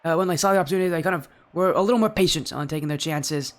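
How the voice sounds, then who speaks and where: A young man doing solo play-by-play commentary for an esports match, sounding a little stuffy and yelling in high bursts at big plays. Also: racing. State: slightly stuffy from allergies. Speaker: esports caster.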